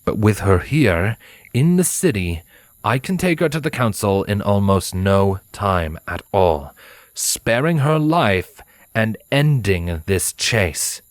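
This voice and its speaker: Man playing a proud killer pony, deep male voice, cold, deliberate, formal diction, dry close sound, storybook villain. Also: animated, no room sound. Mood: stern, contemptuous. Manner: haughty, measured, formal, dismissive. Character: cold proud winged male pony assassin, ruthless hunter.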